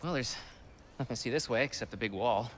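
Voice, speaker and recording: An actor playing a friendly, curious, excitable young refugee man in a video game, chatty and awkward, often stopping and starting. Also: mid-range voice, fast, a little hiss or hum, close to an everyday voice. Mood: casual, helpful. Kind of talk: naturalistic video game acting.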